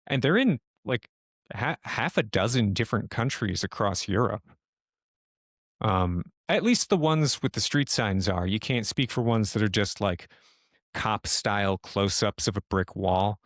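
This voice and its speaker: A man with a lowish voice acts as game master, describing things slowly and haltingly as he thinks them through. The recording is dry and close, with hardly any room to it. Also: animated, dry recording. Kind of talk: improvised narration of a tabletop horror game.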